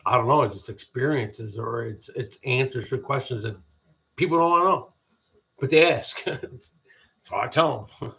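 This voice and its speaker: A deep-voiced man from the United States hosting a podcast, giving a halting, rambling spiritual pep talk full of restarts. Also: fairly bright tone. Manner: halting, restarts often, repeats "people". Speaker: podcast host.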